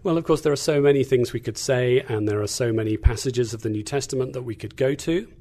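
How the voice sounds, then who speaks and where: English man, measured middle-aged teacher. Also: mid-range voice, dark tone. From England. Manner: measured, articulate, with frequent "uh" and "um". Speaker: guest, Bible teacher. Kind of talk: casual interview chat.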